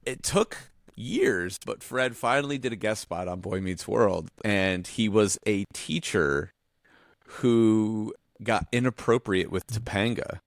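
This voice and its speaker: A man co-hosting a podcast, chatting in an informal, animated way with some mild swearing. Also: on the low side, slow pace, vocal fry, no room sound, clean studio sound. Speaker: podcast co-host. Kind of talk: casual chat.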